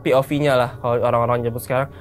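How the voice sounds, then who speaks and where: A man's voice: medium pitch, level tone, rapid-fire, slight background noise. A man of about thirty, casually recounting a personal story in informal, colloquial speech. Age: around 30. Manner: informal, colloquial, acts out bits of dialogue. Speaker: storyteller, guest recounting a mountain hike.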